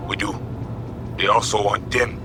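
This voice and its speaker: A deep-voiced Haitian man played by an actor, a stern gang lieutenant in a cyberpunk game. He gives terse orders in broken English, with loud music behind him. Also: little pitch movement, unhurried, lightly acted. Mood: grim, businesslike. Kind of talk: video game dramatic acting.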